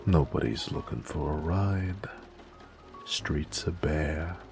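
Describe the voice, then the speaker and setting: A man performs a flirty, cocky mafia driver in a noir romance audio drama, American and full of teasing street slang. The voice sits extremely deep with heavy gravel and fry. Music plays clearly behind the intimate talk. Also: full character voice. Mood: confiding, suggestive. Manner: teasing, slangy tough-guy drawl. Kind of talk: romantic noir audio roleplay, intimate one-sided dialogue.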